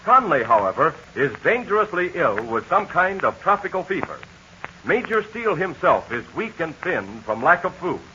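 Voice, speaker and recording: A man's voice: on the high side, big pitch swings, moderate pace, bright, forceful tone, slight background noise. A male announcer giving the scripted narration of a 1940s radio serial in a dramatic style. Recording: dull lo-fi 1940 radio transcription.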